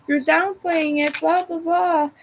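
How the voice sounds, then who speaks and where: A woman's voice: very high voice, steady tone, takes it slowly, a little hiss or hum. A woman who is not a native English speaker, chatting casually while she crochets, hesitating and restarting words. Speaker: crochet vlogger.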